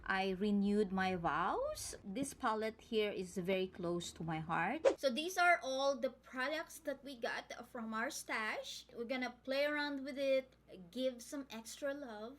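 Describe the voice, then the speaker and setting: A woman's voice: high voice, animated, unhurried, few pauses, fairly bright tone. Filipino-accented woman, chatty beauty vlogger. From Philippines. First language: Tagalog. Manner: chatty, upbeat, addresses viewers directly. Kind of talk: makeup tutorial chat.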